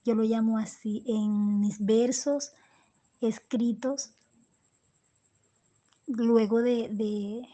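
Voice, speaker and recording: Slow, halting woman poet, soft mellow voice. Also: a little high, steady tone. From Colombia. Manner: halting, many restarts and repeated words. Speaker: poet and storyteller, interview guest. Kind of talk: reflective interview answers.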